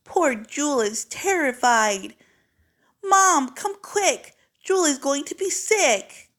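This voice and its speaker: A woman voicing an excitable young girl who loves fancy words, narrating a storybook in a dramatic, precise manner. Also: high voice, slow pace, voice pushed up, exaggerated voice. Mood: alarmed. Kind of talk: storybook read-aloud, first-person child narrator.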